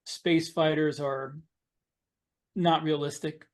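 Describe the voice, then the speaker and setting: A man's voice: a little high, little pitch movement, slow. A man talking casually as an author on a podcast panel, often repeating and rephrasing as he goes.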